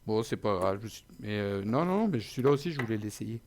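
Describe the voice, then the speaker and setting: A man's voice: on the low side, animated, brisk, darkish tone, a little hiss or hum. A man hosting his own channel, chatting casually after a card game match, often stumbling over words. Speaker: player and channel host. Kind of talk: casual post-match chat.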